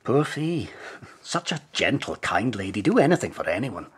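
An actor in a radio drama playing a theatrical, smooth-talking actor-director with a Scottish accent. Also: male voice, lowish voice, normal speed. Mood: courteous, sorrowful. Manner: luvvie-like, expressive.

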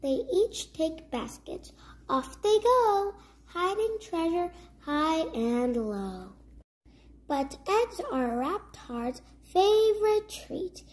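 A young child reads a rhyming storybook aloud in a high voice. The pace is slow, with careful word-by-word phrasing, like a host at story time for little ones. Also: sing-song, darkish tone. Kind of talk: read-aloud of a children's rhyming picture book.

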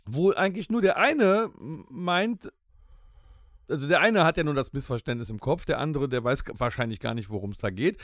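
Higher-voiced man, lively podcast talk. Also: moderate pace, dry recording, studio quality. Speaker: podcast co-host and band musician. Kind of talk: casual chat. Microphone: close studio podcast microphone.

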